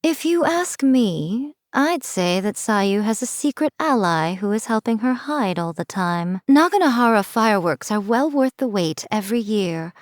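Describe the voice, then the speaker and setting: A woman's voice: medium pitch, animated, unhurried, hardly any pauses, no room sound, studio quality, lightly acted. A woman voicing a sly, teasing fox-spirit shrine priestess in a video game, speaking in a languid, amused way. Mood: wry. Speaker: game character voice.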